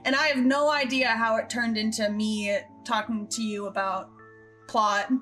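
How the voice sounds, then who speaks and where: A woman hosting a casual behind-the-scenes Q&A, chatting and retelling in an animated, giggly way. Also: fairly high pitch, moderate pace. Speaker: host and creator of an audio drama show. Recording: background music under the voice. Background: soft instrumental game soundtrack music.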